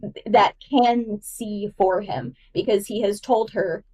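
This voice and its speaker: A woman's voice: medium pitch, steady tone, slow, a little mellow, breathy edge, recorded from a distance, rough recording. A woman giving enthusiastic, emphatic literary analysis as a podcast guest, casual and nerdy in her delivery.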